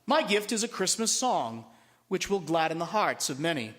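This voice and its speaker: A proud townsman, acted by a man reading a storybook, announces himself loudly and grandly. The voice is pitched high and rings out in a long hall echo. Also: very animated, moderate pace. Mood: proud, declaiming. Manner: loud, declamatory.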